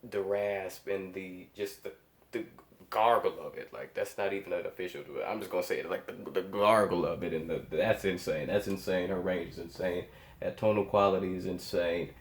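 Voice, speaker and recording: A man's voice: sits low, steady tone, normal speed, roomy sound. A man giving casual, awestruck reaction commentary as a host, emphatic and often repeating phrases for effect. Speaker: host of a music reaction show.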